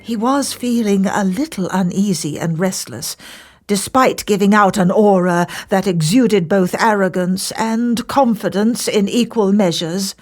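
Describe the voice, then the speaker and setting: A woman reading a children's fairy tale as scripted narration, with deliberate, theatrical phrasing. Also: medium pitch. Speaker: storyteller.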